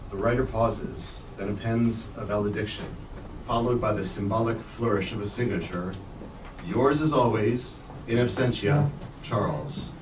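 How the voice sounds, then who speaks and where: A man reading literary prose aloud at a staged event in a measured narrating style. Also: lowish voice. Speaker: reader at a staged book reading. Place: bookstore event space. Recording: roomy, distant mic, clear background noise.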